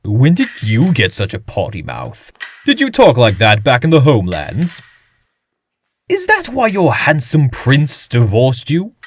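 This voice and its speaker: A man's voice: mid-range voice, huge pitch swings, measured pace, a little hiss or hum. An actor playing a haughty, boorish fencing master in a comic dub, sneering and blunt as he taunts his pupil. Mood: mocking.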